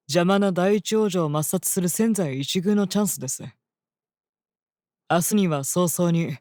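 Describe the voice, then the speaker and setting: A woman's voice: on the low side, warm tone, dry recording, clean studio sound. A woman playing a stern senior temple seer in a fantasy drama, lecturing in an articulate, cutting manner. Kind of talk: dramatic scripted voice acting.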